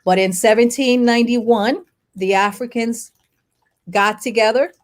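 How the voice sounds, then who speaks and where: A middle-aged woman born in Haiti and raised in the United States, explaining history as an interview guest in emphatic, short phrases broken by pauses. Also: a little high. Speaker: guest speaker, lawyer and activist.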